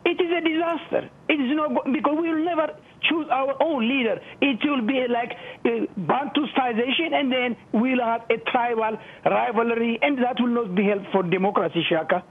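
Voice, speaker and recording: High-pitched Ethiopian man on a thin phone line. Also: moderate pace, hardly any pauses, husky. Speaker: phone-in caller. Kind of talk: impassioned opinion on a talk show call. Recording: muffled, thin telephone line.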